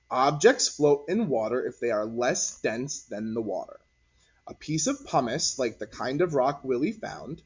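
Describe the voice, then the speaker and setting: Husky man reading a children's story. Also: medium pitch, darkish tone. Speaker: read-aloud narrator. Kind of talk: children's picture book read-aloud. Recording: dull lo-fi recording.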